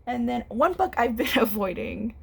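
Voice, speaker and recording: A woman's voice: a little high, animated, normal speed, gravelly, noisy background. A young woman, a book vlogger chatting casually at home, a little congested, rambling and restarting as she talks. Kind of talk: casual chat to camera. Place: home room.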